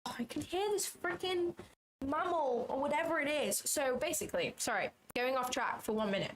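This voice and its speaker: A woman's voice: on the high side, a little hiss or hum, rough recording. Chatty woman streaming her trades. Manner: chatty, swearing, thinks aloud, second-guesses herself. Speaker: trading livestream host. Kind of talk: casual livestream commentary while trading. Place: small office.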